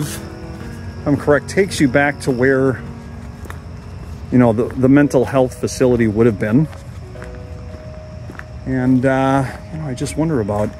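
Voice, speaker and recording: A man narrating a casual walking tour outdoors into his handheld camera, musing and reflective, with pauses. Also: mid-range voice, poor audio. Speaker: vlog host, walking tour guide. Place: outdoors, walking a wooded path at dusk. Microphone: handheld camera held by the speaker.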